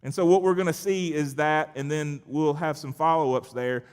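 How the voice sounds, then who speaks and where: A man's voice: a little high, steady tone, moderate pace, darkish tone, smooth. A man speaking as a pastor to his church, conversational and emphatic, telling personal anecdotes in a church address. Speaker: pastor leading a worship service. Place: large church sanctuary. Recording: echoey church hall with long reverb.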